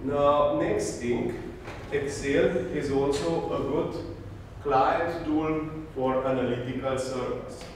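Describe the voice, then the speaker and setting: A man's voice: a little high, steady tone, takes it slowly, far from the mic. Slovenian-accented man lecturing in an echoey hall. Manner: self-deprecating, lists items one by one. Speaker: conference speaker. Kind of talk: technical conference talk. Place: large conference hall. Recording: echoey hall, speaker off-mic, audible noise.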